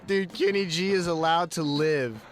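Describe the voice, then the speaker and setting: A man's voice: fairly high pitch, normal speed, slight background noise. A man reading jokes in casual, slangy, profane banter as a podcast host. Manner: slangy, profane, says "dude" constantly. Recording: voice over loud music bed. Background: music.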